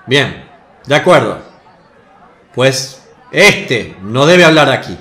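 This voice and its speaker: Man playing a snooty temple official, radio play, slow and swoopy, echoey. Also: mid-range voice, sing-song, bright, forceful tone, a faint crowd, exaggerated voice. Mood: scornful. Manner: accusing and contemptuous. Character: hostile male temple official. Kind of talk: radio drama acting. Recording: echoey room.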